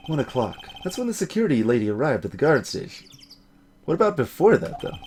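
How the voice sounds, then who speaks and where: A man's voice: medium pitch, darkish tone, slight background noise, lightly acted. A man voicing a young male defense lawyer in a video game, dry, wry and hesitant.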